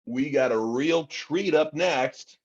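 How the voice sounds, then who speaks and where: A man's voice: a little high, slow pace, slightly rough, full character voice. A man playing a comedy open-mic host, announcing the acts in a table read over slightly muffled call audio. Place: video call from home.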